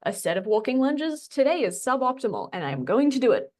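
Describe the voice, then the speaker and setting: A woman's voice: sits high, big pitch swings, a little fast, a little mellow. A woman talking casually as a podcast guest, informal and self-deprecating, phrasing things loosely. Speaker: podcast guest, fitness coach. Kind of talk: casual conversational talk.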